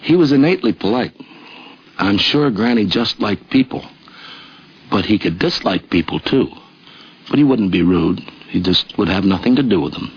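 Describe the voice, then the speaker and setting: Man, rough husky voice, casual reminiscing, dull vintage radio audio. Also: fairly low pitch, animated, normal speed. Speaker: interviewee. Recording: muffled vintage radio recording.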